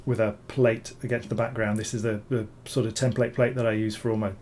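Hoarse guy mumbling through a software demo, dark mellow voice, faint hiss. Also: on the low side, flat delivery, brisk. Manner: rambling, repeats words, many ums. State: hoarse, recovering from illness. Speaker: bas-relief sculptor reviewing software. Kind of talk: screen-recorded software tutorial.

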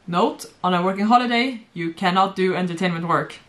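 A woman's voice: on the low side, fairly quick, fairly bright tone. A young woman from Sweden chatting casually at home about her past modeling work, with frequent giggles. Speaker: former model, guest. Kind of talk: casual storytelling chat. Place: bright home room, seated on sofa.

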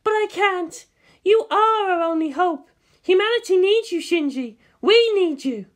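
A narrator playing an excitable, high-voiced woman officer. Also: unhurried. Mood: pleading. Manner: exclaiming, pleading. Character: excitable, pleading adult woman military officer.

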